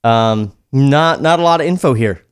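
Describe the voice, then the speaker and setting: A man's voice: medium pitch, moderate pace, noisy background. A man hosting a comedy podcast, talking casually and matter-of-factly. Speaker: podcast host. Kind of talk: casual comedic chat, reading script aloud.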